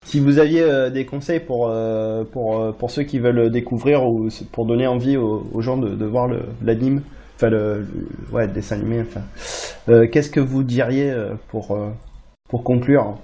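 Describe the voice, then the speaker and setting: A man hosting a casual podcast chat in a friendly, halting way. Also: medium pitch, fairly even intonation, mellow. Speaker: podcast host.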